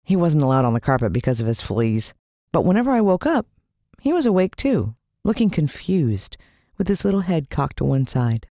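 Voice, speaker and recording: An American woman narrating a children's story in the first person, expressive and suspenseful. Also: low-pitched, moderate pace, dry recording, clean studio sound. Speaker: audiobook narrator.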